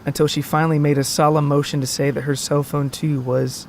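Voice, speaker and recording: A man's voice: on the high side, level tone, brisk, vocal fry, darkish tone, a little hiss or hum. A man reading scripted story narration, with music playing behind his voice.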